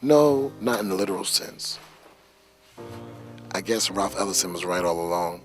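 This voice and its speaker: A young man, a college student, delivering an earnest, measured reflective monologue. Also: low-pitched, animated, faint music behind. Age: young adult.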